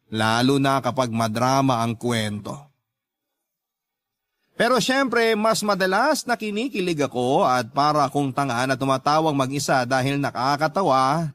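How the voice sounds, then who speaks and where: A man's voice: mid-range voice, a bit bright. A man narrating a listener's letter as scripted radio drama storytelling.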